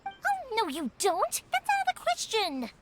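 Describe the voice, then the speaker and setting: A woman's voice: very high voice, huge pitch swings, unhurried, full character voice. A woman voicing a cheerful, talkative young fireworks maker in a game dub, eager to help everyone. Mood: insistent. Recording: game audio with music behind.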